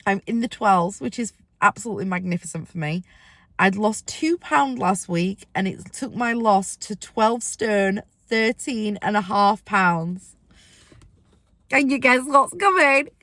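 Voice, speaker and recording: A woman with a squeaky voice from being ill, chatting excitedly to camera in a casual weight-loss vlog, with rambling asides. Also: unhurried, dry recording.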